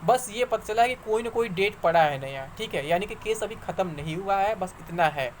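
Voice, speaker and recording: A man's voice: high-pitched, lively intonation, fairly quick, slight background noise. Argumentative man explaining to viewers. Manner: conversational, argumentative, repeats points for emphasis. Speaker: exam news YouTuber. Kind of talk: informal explanatory commentary to viewers.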